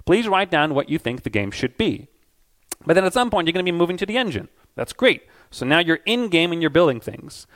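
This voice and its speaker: A middle-aged man giving an energetic, conversational conference talk on stage in a loud voice. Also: on the high side, a little fast. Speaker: conference speaker.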